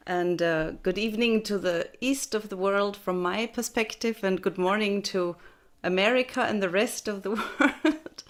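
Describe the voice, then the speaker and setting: A woman from Austria speaking on a video call as a guest speaker, chatty and laughing often. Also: medium pitch. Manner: chatty, laughs often, restarts mid-phrase. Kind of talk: casual spoken reflection. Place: home in Texas, early morning.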